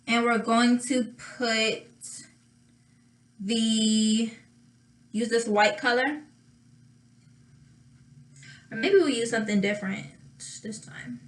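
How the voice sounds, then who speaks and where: A young woman with a slightly raspy voice chatting casually through a makeup tutorial, thinking aloud and pausing as she works. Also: fairly high pitch. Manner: thinking aloud, drawn-out pauses while applying makeup. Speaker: makeup vlogger.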